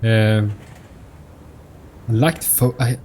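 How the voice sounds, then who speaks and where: A man's voice: fairly low pitch, fairly even intonation, extremely slow, a little mellow. A man giving casual let's play commentary, musing aloud hesitantly as he reacts to the game. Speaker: gaming streamer. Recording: faint noise, game audio behind. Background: video game sound.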